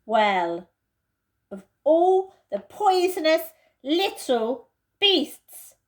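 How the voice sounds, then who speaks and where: A woman's voice: high voice, big pitch swings, extremely slow, pitched above the performer's own voice, exaggerated voice. Woman acting a frank, emphatic schoolboy leader. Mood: indignant. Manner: emphatic, old-fashioned schoolboy exclamations. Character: schoolboy older brother, frank, leading, indignant.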